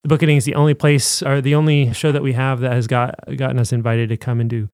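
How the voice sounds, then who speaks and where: A man co-hosting a chatty podcast, making an upbeat pitch to listeners at a middling pitch. His voice is dry and close, with no room sound around it. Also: little pitch movement, fast, darkish tone, no room sound. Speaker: podcast co-host. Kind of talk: casual podcast chat.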